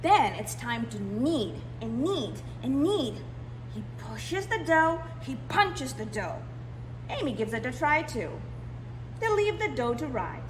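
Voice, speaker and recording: High, animated woman reading a picture book. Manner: expressive, drawn-out emphasis on key words. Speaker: library storytime reader. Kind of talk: animated picture-book read-aloud for young children. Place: indoors in a library, seated. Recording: roomy echo, clear background noise.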